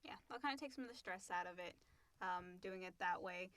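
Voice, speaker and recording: A woman's voice: fairly low pitch, a little fast, fairly bright tone, dry recording. A woman co-hosting a podcast, chatting casually and reading questions aloud.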